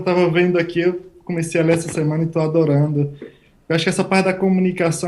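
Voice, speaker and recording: A man's voice: on the high side, dark tone. A man in his thirties with a Northeastern Brazilian accent, from the Bahia backlands, answering interview questions as a guest scientist in a plain-spoken, emphatic way. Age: 30s. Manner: plain-spoken, emphatic, talks in short stacked phrases.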